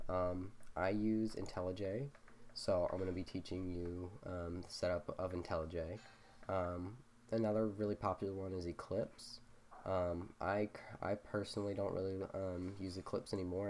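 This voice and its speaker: A young man with a slight cold, explaining coding steps in a casual, halting way full of ums. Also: low-pitched, a little hiss or hum. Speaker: programming tutorial host. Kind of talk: screen-recorded coding walkthrough.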